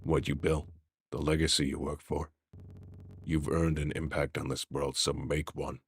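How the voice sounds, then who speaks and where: A man playing a cold, sinister tempter in a drama, goading with quiet, commanding, insinuating delivery. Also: a bass voice, little pitch movement, normal speed, breathy edge. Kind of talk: dramatic dialogue acting.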